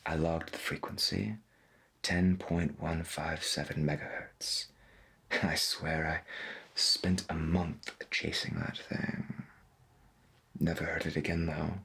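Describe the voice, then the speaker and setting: Man playing a hushed, deep-voiced late-night radio host. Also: flat delivery, heavily acted. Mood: calm, intimate. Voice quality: breathy, husky. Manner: slow, deliberate, folksy, half-whispered. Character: folksy, gentle veteran late-night pirate radio host. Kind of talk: soft-spoken ASMR roleplay as 1990s AM radio.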